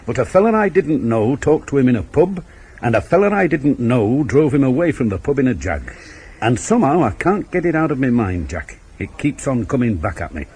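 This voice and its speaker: An actor playing a shrewd, dogged police inspector in a radio crime drama, with a terse, probing, ruminative manner. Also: male voice, mid-range voice, big pitch swings, a little fast, darkish tone, husky. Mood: brooding, decisive.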